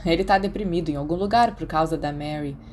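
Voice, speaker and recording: A woman's voice: low-pitched, quick pace, a little mellow, slight background noise, natural voice. A Brazilian woman voicing a good-humored, slightly tipsy, bossy teenage schoolboy in an audiobook, casual and teasing. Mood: mildly exasperated. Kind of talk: audiobook character dialogue.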